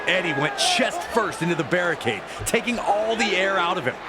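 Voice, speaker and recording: A man's voice: high-pitched, expressive, fairly quick. A man narrating wrestling action from a script in a dramatic, emphatic manner. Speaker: sports narrator. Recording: loud crowd noise behind voice. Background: roaring arena crowd.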